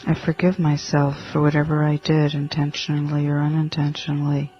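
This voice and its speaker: A man leading a guided meditation in a gentle, halting manner, leaving long pauses between short phrases. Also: on the high side, monotone. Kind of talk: guided meditation, spoken instructions. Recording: dull lo-fi audio with faint music.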